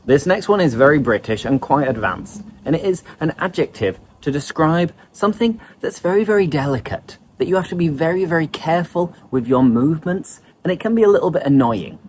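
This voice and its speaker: A man teaching English vocabulary in an online lesson, explaining words in a lively way. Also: a little high, slight background noise.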